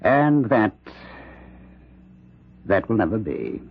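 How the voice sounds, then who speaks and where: A husky, sinister possessed prisoner. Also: male voice, on the low side, very animated, slow, heavily acted. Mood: anguished intensity. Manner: taunting, theatrical, oratorical. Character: sinister prisoner possessed by Jack the Ripper's spirit. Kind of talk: 1970s radio drama acting. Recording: dull lo-fi old radio recording.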